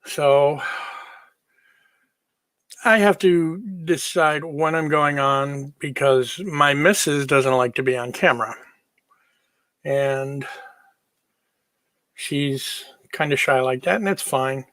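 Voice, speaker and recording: Casting: easygoing male streamer, lighter-pitched voice, casual chat with viewers, very dry room sound. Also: slow, long pauses, fairly bright tone, dry recording. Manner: easygoing, informal. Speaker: livestream host.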